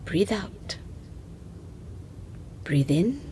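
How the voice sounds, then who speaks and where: Very slow, mellow woman guiding meditation. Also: mid-range voice, very animated, slight background noise. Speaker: guided meditation narrator. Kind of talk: guided breathing meditation, scripted narration.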